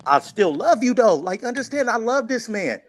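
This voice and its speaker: A man's voice: very high-pitched, very animated, moderate pace, slight background noise. A man in a casual, heated panel discussion, speaking colloquially and insistently as he argues his point.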